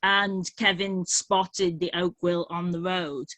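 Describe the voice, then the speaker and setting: A woman's voice: on the low side, steady tone, slow, fairly bright tone, no echo. A woman who is not from Texas, talking casually as an audience member about her own land, chatty and full of restarts. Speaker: property owner, audience member. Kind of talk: casual discussion.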